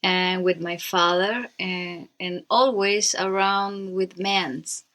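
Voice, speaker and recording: A Chilean woman in her thirties, a native Spanish speaker, answers interview questions in halting, simple English with a Chilean accent. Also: fairly low pitch, fairly even intonation, a bit bright. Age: 30s. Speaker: guest, motorcyclist.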